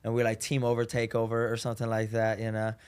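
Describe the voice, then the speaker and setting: A man's voice: fairly low pitch, quick pace, clear, resonant tone. A young adult man from Puerto Rico, a podcast guest talking casually and easily with frequent fillers. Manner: relaxed, friendly, frequent "you know". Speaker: podcast guest, marketing founder. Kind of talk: casual interview chat.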